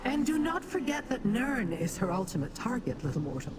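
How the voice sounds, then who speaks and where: Condescending demon schemer, lively swooping delivery, noisy game mix. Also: female voice, on the high side, brisk. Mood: haughty. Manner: haughty, calculating, condescending. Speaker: game character, scheming ally. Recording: game audio with background noise.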